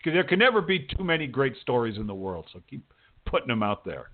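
A man hosts a casual interview show in an informal, encouraging manner. His voice sits at a medium pitch and comes through narrow, like a telephone call. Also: very animated, brisk. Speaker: talk show host, writing teacher. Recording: thin phone-like radio call audio.

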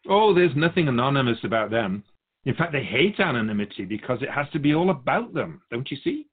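A man playing a wise, wry otherworldly keeper of souls in a scripted dialogue, measured and teasing, fond of rhetorical questions. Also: medium pitch, very animated, breathy edge, narrow, tinny audio.

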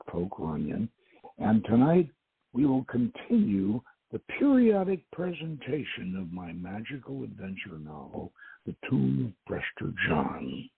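A man with a gravelly, raspy voice hosting a radio show, reading aloud and adding halting, deliberate asides with dramatic flourishes. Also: lowish voice. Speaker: radio show host and author. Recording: tinny phone-like line with echo.

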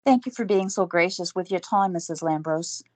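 Actress as starstruck young interviewer, babbling apologies, dry close sound. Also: female voice, lowish voice, moderate pace, a little mellow, dry recording, lightly acted. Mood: flustered. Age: young adult.

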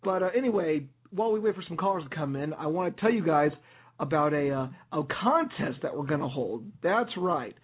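A man hosting an internet radio show as DJ, hoarse and raspy, talking in an upbeat promotional way with some fillers. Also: fairly high pitch, animated, a little fast. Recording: tinny phone-like line.

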